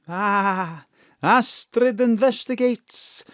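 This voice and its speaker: An actress playing a knowing, encouraging Christmas spirit guide with a measured storytelling manner in an audio drama. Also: female voice, medium pitch, no echo, clean studio sound.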